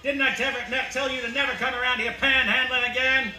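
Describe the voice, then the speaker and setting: Sneering bartender bully for radio play, male actor: very high, sharp, slangy, roomy stage sound. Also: flat delivery, fairly quick, exaggerated voice. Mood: angry, bullying. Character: gruff, hostile bartender throwing out customers. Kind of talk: live 1940s-style radio play acting. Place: small theatre stage, indoors. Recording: roomy stage recording, faint noise.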